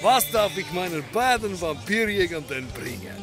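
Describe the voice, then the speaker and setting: Animated expansive immigrant bar owner, jovial, lightly high voice, audio play. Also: male voice, moderate pace, music in the background. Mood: amused. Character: hearty, adventurous Polish immigrant male barkeeper. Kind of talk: occult comedy audio drama acting.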